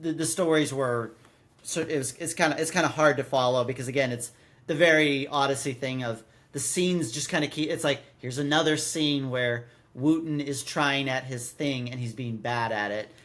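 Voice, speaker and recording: A man's voice: mid-range voice, normal speed, a bit bright. A man hosting a podcast in casual chat, rambling and often restarting his sentences mid-thought. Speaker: podcast host. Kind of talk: casual chat reviewing a radio drama.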